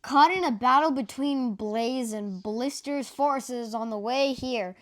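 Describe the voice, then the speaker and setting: A man's voice: very high voice, slow pace, fairly bright tone, exaggerated voice. A young boy voicing an earnest, determined male sea dragon in a storybook read-aloud. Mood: grave. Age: child. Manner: hissing, grim, insistent.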